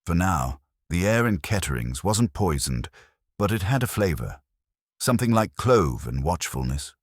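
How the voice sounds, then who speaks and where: Male audiobook narrator for first-person crime fiction, extremely low voice, animated intonation. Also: moderate pace, no echo, clean studio sound. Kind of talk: scripted first-person detective story narration.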